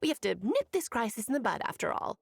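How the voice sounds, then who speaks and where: A woman voicing a confident adult female mage who speaks in an airy, poised and gently bossy way in video game dialogue. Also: on the high side, sing-song, fast talker, vocal fry, slight background noise. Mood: breezy.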